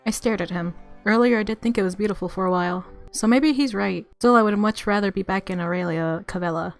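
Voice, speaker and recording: A woman reading a visual novel aloud as expressive story narration. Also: mid-range voice, quick pace, faint music behind.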